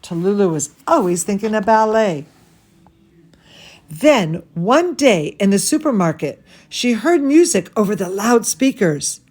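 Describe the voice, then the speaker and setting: A woman reading a bedtime story to children as their dance teacher, theatrical and gushing, with drawn-out emphasis. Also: mid-range voice, big pitch swings, a little mellow.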